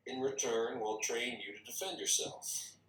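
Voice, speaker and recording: Man as insistent secret agent, table-read drama, forceful businesslike delivery, roomy distant recording. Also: medium pitch, steady tone, measured pace, close to an everyday voice. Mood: persuasive. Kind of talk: screenplay table read acting. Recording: echoey room, distant microphone.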